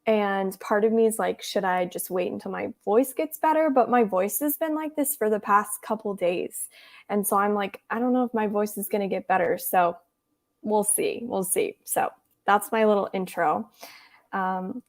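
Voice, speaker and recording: A hoarse young woman in her twenties hosting a casual reading livestream, chatty and giggly as she reads viewer comments, trying to talk more quietly than usual. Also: medium pitch, moderate pace. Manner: tries to speak softer than usual. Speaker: booktube livestream host. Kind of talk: casual chat with live viewers.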